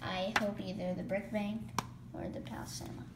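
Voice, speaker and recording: A woman's voice: mid-range voice, little pitch movement. A woman who is losing her voice, casually rambling as she flips through a toy catalog for her vlog. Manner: rambling, lists items quickly with filler words. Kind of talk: casual off-the-cuff vlog commentary. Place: indoors, room. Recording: echoey room with clear background noise.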